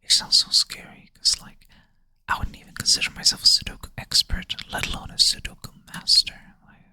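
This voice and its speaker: ASMR whisperer, sleepy and self-deprecating, bright airy close-mic whisper. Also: brisk. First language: Swedish. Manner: soft whisper, thinking aloud, self-deprecating. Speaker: ASMR creator. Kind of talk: whispered ASMR puzzle play-through.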